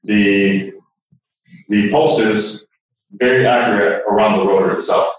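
A man giving an informal technical explanation as a product expert, halting as he searches for words. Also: fairly low pitch, fairly even intonation, slight background noise. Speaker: product expert guest demonstrating. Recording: echoey room, distant from mic.

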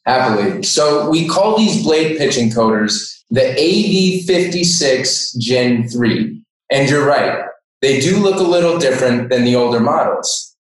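A very roomy, distant recording of an American man in his twenties. His voice sits at a medium pitch as he explains things like a sales engineer in an interview. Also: lively intonation, unhurried. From Denver, Colorado, United States. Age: 20s. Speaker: sales engineer, podcast guest. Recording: echoey room, distant microphone.

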